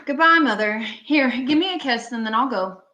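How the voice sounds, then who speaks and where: A woman acting a haunted traveller in her thirties in an amateur radio thriller, urgent and halting, repeating phrases for emphasis. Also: fairly high pitch, lo-fi sound, background noise, close to an everyday voice. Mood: reassuring. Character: haunted 36-year-old woman traveller, shaken but insisting she's sane. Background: music and rain.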